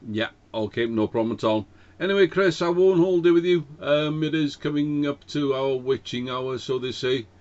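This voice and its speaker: A man's voice: on the high side, moderate pace, a little mellow. A man chatting as an amateur radio operator in a hesitant, courteous way, speaking into a cheap dynamic microphone over a radio link. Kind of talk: casual ham radio contact. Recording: dull lo-fi radio link audio.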